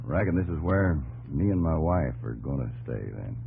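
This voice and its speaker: A man's voice: very deep voice, very animated, normal speed, creaky, narrow, tinny audio, background noise, heavily acted. An American actor playing a plainspoken, stubborn pioneer farmer who tells his story in a folksy drawl, in a 1950s radio drama. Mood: friendly. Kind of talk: 1950s radio drama acting, narrating in character. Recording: old 1950s radio broadcast recording.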